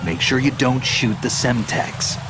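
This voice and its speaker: An actor playing a seasoned, resourceful soldier in a video game, gruff and terse in a matter-of-fact way. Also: male voice, medium pitch, unhurried, noisy background, natural voice. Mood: businesslike.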